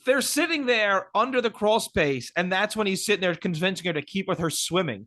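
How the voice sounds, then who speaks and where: A man's voice: high-pitched, animated, brisk, sharp tone, no room sound, clean studio sound. A man in casual podcast chat, blunt and sardonic, swearing freely. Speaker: podcast guest.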